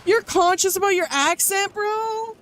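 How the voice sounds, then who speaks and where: A woman's voice: very high voice, measured pace, slight background noise. A woman streaming as a VTuber, chatting casually and reacting to chat while she plays a game. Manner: animated, reacting to chat, rising to outbursts. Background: game music.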